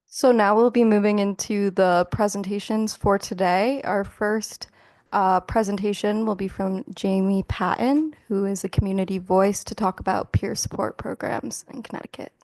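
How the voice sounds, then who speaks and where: A woman's voice: medium pitch, steady tone, normal speed, darkish tone, dry recording. A woman moderating a meeting introduces the next presenter in a plain, announcing way. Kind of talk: introducing speakers.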